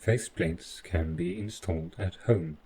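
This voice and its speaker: A man playing an overprotective, stiffly polite robot butler in an audio roleplay, speaking in formal, deliberate phrases. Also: sits low, mellow, breathy edge, exaggerated voice. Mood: calm, formal. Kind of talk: scripted audio roleplay addressed to the listener.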